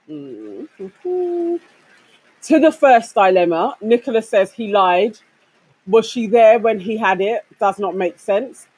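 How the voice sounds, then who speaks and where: A woman's voice: medium pitch, a little mellow, breathy edge, rough recording. A woman co-hosting a casual chat show, reading out listener comments and laughing along. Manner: reads comments aloud, laughs often.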